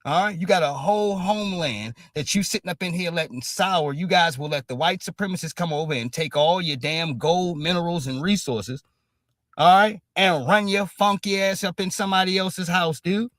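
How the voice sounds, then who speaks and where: A man's voice: high voice, moderate pace, fairly bright tone, no room sound. A man hosting a stream, ranting in emphatic commentary and repeating "all right?" after nearly every phrase. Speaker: streamer host.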